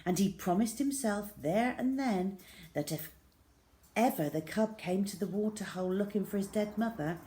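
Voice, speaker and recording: A woman reading a children's story aloud in a measured, expressive, dramatic way with hushed emphasis. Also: mid-range voice.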